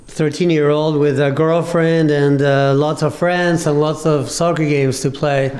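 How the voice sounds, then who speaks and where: A man in his fifties from Argentina, telling his life story in an interview with a thoughtful, halting manner and many pauses. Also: on the high side, level tone, a little mellow. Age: 50s. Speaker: interview guest, cafe owner. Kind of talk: reflective personal storytelling.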